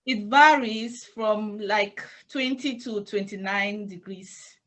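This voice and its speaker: A woman with a Cameroonian accent answering interview questions as a church intern, in formal, halting, measured phrases. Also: mid-range voice, fairly bright tone.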